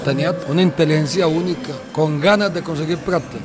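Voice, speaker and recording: A man's voice: a little high, quick pace. A man from Colombia with a Colombian accent, recalling things as an interviewee. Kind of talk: spoken recollection.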